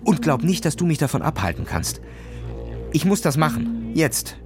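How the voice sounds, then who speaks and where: A man's voice: fairly high pitch, sing-song, normal speed, music in the background, voice pushed up. A man voicing a reckless, boastful boy skier in an agitated, defiant manner for a children's story. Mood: desperate, defiant.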